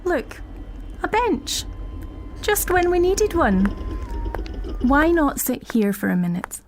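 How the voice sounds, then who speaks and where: A woman playing a concerned, tactful acquaintance in an audio drama, speaking in a gentle, reassuring way. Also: high voice, very animated, takes it slowly, slight background noise, heavily acted.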